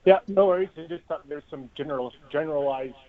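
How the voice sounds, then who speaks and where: Male investor caller, polite questioner, a bit high-pitched, on a thin telephone line. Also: quick pace. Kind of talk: asking questions on a conference call. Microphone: phone. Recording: tinny, narrow phone line.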